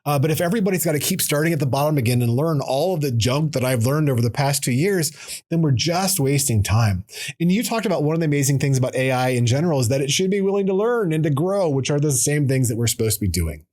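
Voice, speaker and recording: A man's voice: a little high, animated, fast, a little mellow. Earnest, self-deprecating man co-hosting a podcast. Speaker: podcast co-host. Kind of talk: casual conversational podcast. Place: studio.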